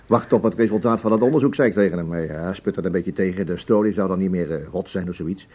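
An actor in a radio play voicing an imperious, blustering, evasive boss with a husky voice. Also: male voice, low-pitched, quick pace, dark tone. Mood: smooth, evasive. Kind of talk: Dutch radio play acting. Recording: dull lo-fi radio play recording.

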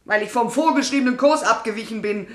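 Woman doing a gruff older lady sea captain, cursing and blustery, highish voice, echoey room, radio play. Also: quick pace, close to an everyday voice. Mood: indignant. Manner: gruff, swearing, indignant. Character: blustering, hearty female whaling captain, cursing in sailor slang.

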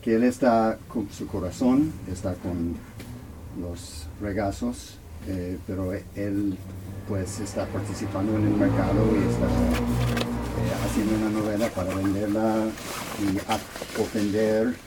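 A man speaking Spanish as his second language, haltingly searching for words as he puts a question at a talk. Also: lowish voice, steady tone, noisy background. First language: not Spanish, Spanish as second language. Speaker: audience member asking questions. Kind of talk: unscripted question at a book talk.

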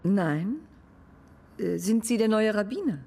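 A hesitant household member greeting a caller in a radio play. Her voice is dark, soft and noticeably creaky. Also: medium pitch, lively intonation, measured pace. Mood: hesitant, polite. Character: woman answering the door of a mourning household, hesitant. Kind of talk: naturalistic radio drama acting.

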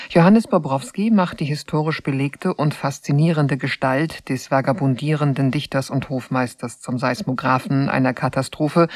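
A man's voice: mid-range voice, darkish tone, no echo. Dry studio-recorded male radio presenter. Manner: factual, formal reading. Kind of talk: scripted introduction to a radio drama.

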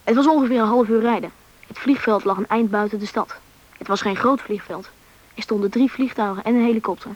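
A young performer playing a curious, eager Russian boy in a radio play, with a youthful, slightly husky voice, in a muffled old recording. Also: medium pitch, brisk, lightly acted. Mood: recounting. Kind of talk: radio play narration and dialogue.

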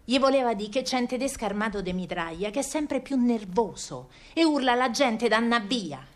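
A woman's voice: a little high, lively intonation, a little fast, close to an everyday voice. Actress as an earthy Roman woman of wartime. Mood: alarmed, urgent. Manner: earthy, colloquial, theatrical storytelling. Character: feisty working-class Roman woman of wartime 1943. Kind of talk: dramatic first-person radio monologue in dialect.

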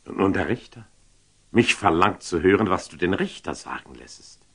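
Grave sultan in a radio drama, really deep n gravelly, husky breathy, terse. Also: male voice, expressive, normal speed, fairly bright tone, exaggerated voice. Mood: absorbed, admiring. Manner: terse, solemn, often dropping to a murmur. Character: powerful sultan, grave, humbled and deeply moved. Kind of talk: German classical radio drama acting.

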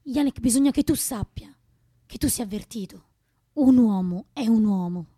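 Female revolutionary, solemn, compassionate, doubting, theatrical radio drama, higher register, close dry recording. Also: animated, normal speed, warm tone, slightly rough, no echo. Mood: grave, tender.

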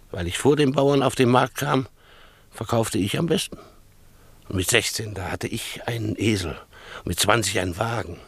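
An actor playing a proud, boastful rich Greek father in a German radio play, emphatic and scornful, with a husky voice. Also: lowish voice, fairly bright tone, no echo. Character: proud, self-made rich Greek father, possessive of his daughter.

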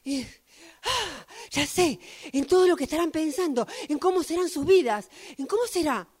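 A woman's voice: sits high, lively intonation, moderate pace. A woman acting a dreamy, wistful train passenger in a radio drama, theatrically echoing her own phrases. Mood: giddy, wondering.

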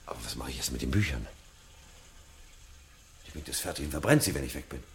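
A man's voice: a bass voice, expressive, fast, gravelly, slight background noise, heavily acted. An actor playing a troubled, exasperated fireman husband in a 1970s radio play, muttering to himself with outbursts.

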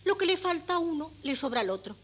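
A woman's voice: very high voice, very animated, fast. A woman with a Castilian Spanish accent playing a doting, proud, naively chatty middle-aged mother in a radio play. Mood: contented. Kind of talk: 1970s Spanish radio theatre acting. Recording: noisy 1979 radio cassette recording.

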